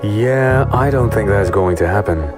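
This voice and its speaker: An actor playing a wary hooded rogue, narrating in the first person in a fantasy audio drama, low-key and brooding. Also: male voice, expressive, unhurried. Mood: guarded. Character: wary, hooded male rogue narrating his own infiltration. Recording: loud music bed behind voice.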